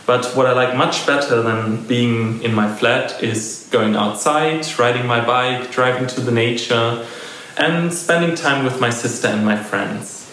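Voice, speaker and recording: A young man from Berlin, a flute student, introducing himself from his small flat. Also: medium pitch, a little slow. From Berlin, Germany. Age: young adult. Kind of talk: self-introduction to camera. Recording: very echoey room with faint noise.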